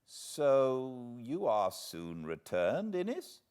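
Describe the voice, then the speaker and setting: A man playing a curious, surprised gentleman in a classic book, asking questions in an incredulous manner. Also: medium pitch, wildly swooping pitch, extremely slow, clean tone, voice pushed up, heavily acted.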